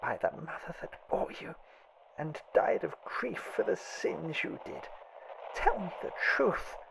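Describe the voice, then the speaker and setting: A man voicing an ancient, relentless old nurse woman in an audiobook horror tale, with a thin, breathy voice and solemn, insistent delivery. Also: sits high, expressive, slow, background noise, pitched above the performer's own voice, exaggerated voice. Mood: solemn, imploring.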